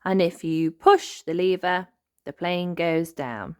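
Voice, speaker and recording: A woman voicing an excited, cheese-loving young girl mouse in a storybook, full of gushing exclamations. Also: lowish voice, slow pace, clear, resonant tone. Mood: explaining.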